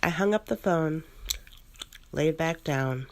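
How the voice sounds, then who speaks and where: A woman's voice: sits low, takes it slowly, a little mellow, slight background noise. Woman telling an ASMR ghost story, gum clicking. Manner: chews gum while narrating.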